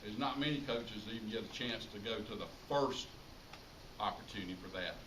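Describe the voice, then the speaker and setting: A man's voice: lowish voice, fairly quick. A middle-aged American man giving an informal tribute speech to an audience, speaking emphatically and repeating phrases for effect. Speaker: high school public address announcer giving a tribute speech. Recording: roomy, distant mic, clearly noisy ambience.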